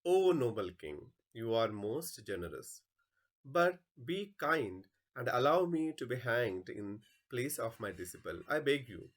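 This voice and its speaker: A wise old sage from a stage play, acted out by a man reading aloud. He is humble and beseeching, with slow phrasing. His pitch moves widely from phrase to phrase. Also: big pitch swings. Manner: humble, pleading.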